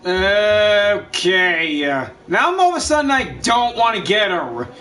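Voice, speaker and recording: A man's voice: sits high, big pitch swings, slow, bright, forceful tone, distant mic, soft background music. A man casually commenting and reacting as he live-streams a game, recorded a little off the mic. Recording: off-mic, faint noise, game ambience.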